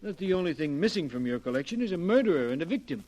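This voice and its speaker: An actor playing a polite, inquisitive gentleman visitor in a 1940s radio horror drama, with formal phrasing. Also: a little high, big pitch swings, racing. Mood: pointedly polite.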